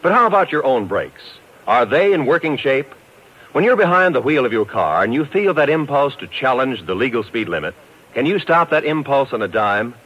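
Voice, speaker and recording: Animated vintage radio announcer, a man. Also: medium pitch, normal speed, husky. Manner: earnest, admonishing. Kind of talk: 1950s public service announcement. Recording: dull lo-fi vintage radio recording.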